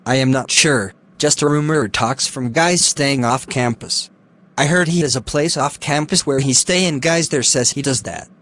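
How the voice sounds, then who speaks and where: A man's voice: mid-range voice, normal speed, a bit bright, close to an everyday voice. A young man, acting a cautious student who firmly refuses to get involved. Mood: dismissive. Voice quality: firm, dismissive refusal. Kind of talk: amateur drama acting.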